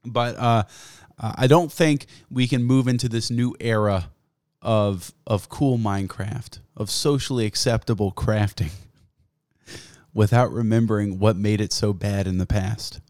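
A man's voice: lowish voice, fairly even intonation, measured pace, darkish tone, no echo, studio quality. A young man hosting his own podcast, rambling and cursing casually while sick and losing his voice. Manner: profane, rambling, self-mocking. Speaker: podcast host. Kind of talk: casual solo podcast chat. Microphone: studio mic, close.

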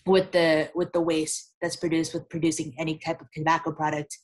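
A woman with a low voice speaks as a guest expert on a podcast. Her delivery stays even, with little rise and fall, and she explains steadily with a few fillers. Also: a little fast. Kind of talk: casual podcast conversation.

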